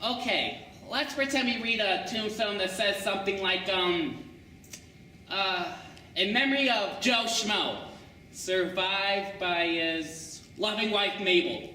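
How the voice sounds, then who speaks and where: A man's voice: high voice, slow, bright, forceful tone, a little hiss or hum, full character voice. A young man voicing a nerdy teenage boy who giggles while he lays out a prank. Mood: scheming. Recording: echoey room, far camera.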